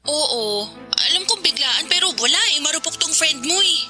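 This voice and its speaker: A woman's voice: high-pitched, lively intonation, normal speed, music in the background. A woman playing a bubbly, teasing young best friend in a Filipino radio drama, chatty and playful. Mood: playful, giddy.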